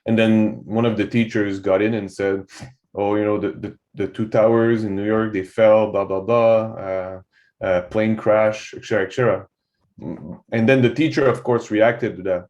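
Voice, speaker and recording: A man's voice: lowish voice, steady tone, moderate pace, darkish tone, highs cut off. A young man from Quebec with a French-Canadian accent, French his first language, talking casually as a guest on a video call and rambling with lots of filler phrases. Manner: loose and rambling, fills with "so on and so forth". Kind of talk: casual podcast conversation.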